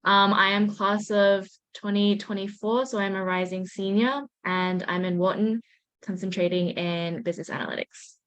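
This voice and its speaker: A woman's voice: mid-range voice, fairly even intonation, moderate pace, a bit bright, no room sound, muffled recording. Chatty young woman, dry close sound. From Australia. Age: 20s. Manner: chatty, casual. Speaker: student panelist.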